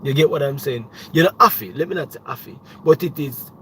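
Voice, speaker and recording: A man's voice: mid-range voice, brisk, a bit bright, a little hiss or hum. A young Jamaican man, a music producer, talking casually on a livestream, rambling with many restarts and "you know what I mean.".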